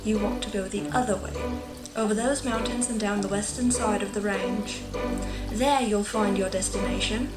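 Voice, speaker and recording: A woman's voice: medium pitch, steady tone, moderate pace, lightly acted. A woman playing a cheerful, whimsical guide who rambles breezily and a little fussily in a storybook audio drama. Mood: breezy, helpful. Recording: distant, echoey, under loud music.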